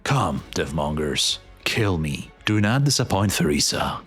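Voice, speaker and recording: A man acting a stern, commanding general in a game fan dub, speaking gravely and formally. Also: deep voice, very animated, unhurried, fairly bright tone, heavily acted. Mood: grim resolve. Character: stern, commanding male general, proud and unyielding. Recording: background music under the voice.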